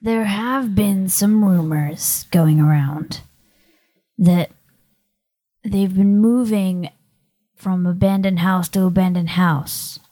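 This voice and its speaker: A woman runs a tabletop game as game master. She narrates slowly at a middling pitch, improvising as she goes. Her delivery is hesitant and matter-of-fact. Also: warm tone, no room sound.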